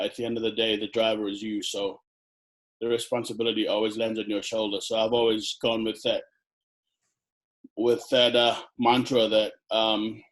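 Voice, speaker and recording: A middle-aged man from Fiji who grew up in New Zealand, answering interview questions slowly with many ums, over a video call headset. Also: on the low side, poor audio. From Fiji, raised in New Zealand. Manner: hesitant, many ums and uhs. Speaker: chiropractor guest. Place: indoor room on video call.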